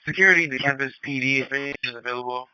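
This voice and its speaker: A man's voice: mid-range voice, quick pace, pushed and bright, clear, resonant tone, dry recording. A man on emergency two-way radio, giving short businesslike messages as an operator. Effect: two-way radio transmission. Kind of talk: scanner radio traffic. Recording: very noisy, clicking radio channel.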